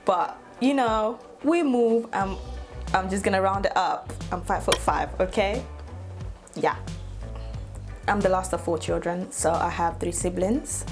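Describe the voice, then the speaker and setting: A woman's voice: medium pitch, a little slow, soft background music. A woman, talking casually to her camera and laughing often as she chats. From Nigeria. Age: 20s. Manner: chatty, laughs often, playful asides. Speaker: YouTuber. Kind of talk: casual get-to-know-me vlog.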